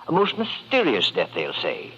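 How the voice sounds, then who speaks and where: An actor playing a sly older serial killer of women, theatrical and repetitive, in a 1940s radio horror drama. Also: male voice, medium pitch, huge pitch swings, normal speed, sharp tone. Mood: gloating, menacing. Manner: theatrical, gloating, repeats himself, murmuring asides. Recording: noisy, dull vintage radio recording.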